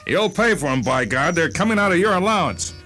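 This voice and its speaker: A man's voice: sits high, animated, brisk, sharp tone, faint music behind, pitched above the performer's own voice. A man voicing a hot-tempered, grumbling middle-aged shopkeeper and father in a dramatized radio story. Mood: angry. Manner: blustering, exasperated.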